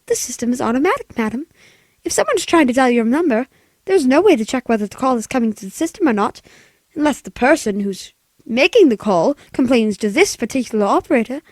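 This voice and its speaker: A woman playing a polite, officious telephone operator with a measured, impassive manner in a 1940s-style radio play. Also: sits high, big pitch swings, no echo. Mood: polite, detached.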